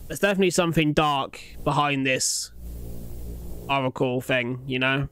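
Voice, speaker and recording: A man's voice: medium pitch, moderate pace, a bit bright, clean tone. A young man giving casual reaction commentary, musing aloud in an informal way as host of the video.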